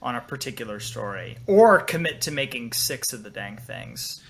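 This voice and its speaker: A man's voice: mid-range voice, sing-song, normal speed, gravelly. A man talking casually as a podcast co-host. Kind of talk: casual chat.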